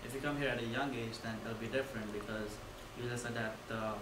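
A man's voice: mid-range voice, steady tone, fast talker. Young man presenting in an echoey classroom. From India. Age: young adult. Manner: casual, filler-heavy, loose phrasing. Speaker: student presenter. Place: dim classroom with projector. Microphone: far camera. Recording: echoey room from far off with noise.

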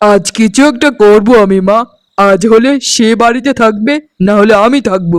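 A man's voice: high-pitched, normal speed, dry recording, clean studio sound, natural voice. A man playing an angry, devoted young husband and son in a melodramatic, emphatic cartoon style. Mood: outraged. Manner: emphatic, scolding, dramatic.

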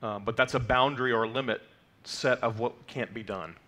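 A man's voice: mid-range voice, fairly even intonation, fairly quick, a bit bright. Man teaching a Torah portion at a lectern. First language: not Hebrew. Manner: explanatory, frequent fillers and "okay?" check-ins. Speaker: congregation teacher. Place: sanctuary, mid-size indoor hall.

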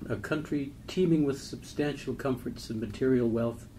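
Mellow-voiced man reading poetry, noisy recording. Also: medium pitch, normal speed. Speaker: poetry reader. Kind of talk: literary poem reading.

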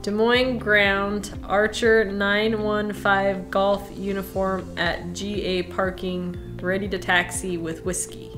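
Slow-talking young woman, hesitant. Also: medium pitch, level tone, music in the background. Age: young adult. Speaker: student pilot. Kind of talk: hesitant practice and casual chat. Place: couch in a living room, indoors.

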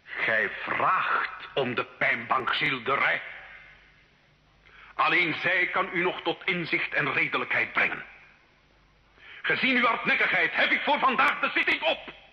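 A man's voice: a little high, big pitch swings, a little slow, pauses often, bright, forceful tone, exaggerated voice. An actor in an old radio drama playing a stern, solemn church inquisitor, formally declaiming his accusations. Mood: cold, threatening. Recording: hall-like echo, dull old radio recording.

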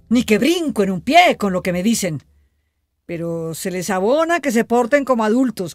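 A woman's voice: a little high, no echo, studio quality, close to an everyday voice. A woman as a stern, exasperated Colombian mother. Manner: scolding, frank. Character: stern, exasperated but sensible mother of teenage girl. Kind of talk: radio soap opera acting.

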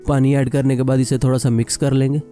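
A man narrating a cooking video voice-over, explaining each step calmly and plainly. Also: medium pitch, fast talker, soft background music. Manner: calm step-by-step instruction. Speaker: cooking channel host.

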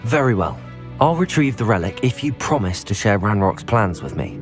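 An actor playing an earnest teenage wizard student in a video game, polite and composed. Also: male voice, fairly low pitch, big pitch swings, normal speed, over music. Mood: determined.

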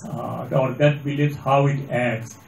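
A mature man with an Assamese Indian accent answering questions as a panelist in an auditorium, deliberate and halting, repeating points for emphasis. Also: mid-range voice, steady tone, vocal fry, darkish tone. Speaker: panelist, filmmaker. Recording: echoey, distant, faint background noise.

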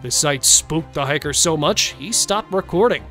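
A man narrates a scripted countdown in a slightly higher-than-average voice. He builds suspense and turns to the viewer with rhetorical questions. Quiet music plays underneath him. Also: lively intonation, normal speed.

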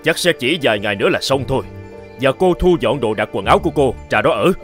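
An actor playing a bitter husband in a cartoon folk tale, speaking in an emphatic, dramatic way. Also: male voice, medium pitch, animated, fast, over music, lightly acted. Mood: cold, bitter. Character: bitter, cold husband who turns terrified and begging. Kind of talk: Vietnamese cartoon voice acting.

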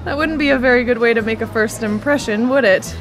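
Actress as friendly blind-date woman; polite, curious, higher voice, music under. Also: brisk, natural voice. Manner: polite, curious, laughs easily. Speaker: supporting character in a radio drama. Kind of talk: live radio drama acting.

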